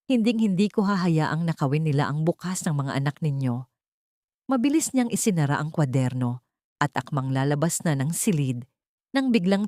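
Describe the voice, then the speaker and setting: A woman narrates a dramatic audiobook. Her voice sits low for a woman, and she delivers scripted storytelling in a close, dry sound. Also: darkish tone, dry recording.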